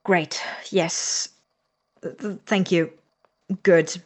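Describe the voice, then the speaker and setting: An anxious young lab partner in an audio drama, speaking in an everyday, natural way at a middling pitch. The delivery is earnest and wary, with a sardonic edge. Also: steady tone, very slow, darkish tone. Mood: sheepish, agreeable. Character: anxious, suspicious young roommate Christopher, grave-robbing lab partner.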